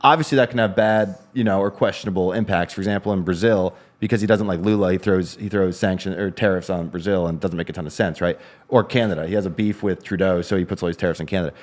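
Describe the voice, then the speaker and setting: A man talking politics at a rapid clip, his voice sitting fairly low. He rambles, restarts his sentences and circles back as he explains. It is a relaxed podcast conversation between co-hosts. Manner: fast, rambling, frequent restarts. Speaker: co-host. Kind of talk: casual political discussion.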